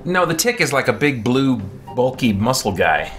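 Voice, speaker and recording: A man's voice: medium pitch, big pitch swings, normal speed, fairly bright tone. A man hosting a casual gaming livestream, chatting off the cuff. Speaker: video game streamer, host. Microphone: shared microphone set a little away from him. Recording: music playing behind the voice. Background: video game music.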